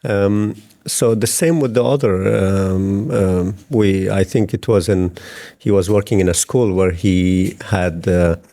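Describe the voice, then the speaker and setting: Low, dark-voiced hesitant man. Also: measured pace. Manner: hesitant, many fillers and restarts. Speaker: film director. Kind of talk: interview answers.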